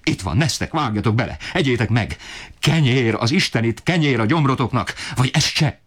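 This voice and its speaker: A man's voice: low-pitched, voice pushed up, close to an everyday voice. A man voicing a desperate, enraged poor father who bellows in fury. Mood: furious shouting. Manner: bellowing, furious outbursts.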